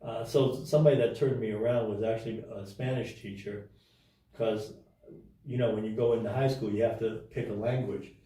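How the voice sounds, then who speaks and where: A man telling his life story as an interview guest in a casual, halting way, with many restarts and fillers. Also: on the low side, steady tone, dark tone, very echoey, recorded from a distance, rough recording.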